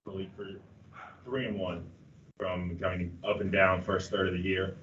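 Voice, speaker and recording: A deep-voiced male reporter puts questions to a player, halting now and then as he restarts. He sounds far from the microphone, with dull, lo-fi audio and a faint hiss of room noise underneath. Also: level tone, a bit bright. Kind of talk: press conference questions.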